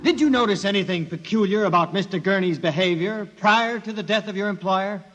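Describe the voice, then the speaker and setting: High-voiced prosecutor in echoing hall. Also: male voice, fairly quick, fairly bright tone. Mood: formal, probing. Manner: formal, probing. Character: formal courtroom prosecutor questioning witnesses. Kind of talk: 1950s radio drama acting. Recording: long hall reverb, music behind.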